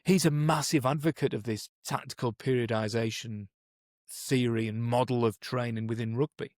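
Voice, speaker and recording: A man's voice: mid-range voice, fairly even intonation, moderate pace, a little mellow, no echo, studio quality. A man co-hosting a podcast, explaining coaching methods in a thoughtful, conversational way, often restarting his sentences. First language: English. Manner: thoughtful, many restarts and "you know"s. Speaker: podcast co-host, performance coach.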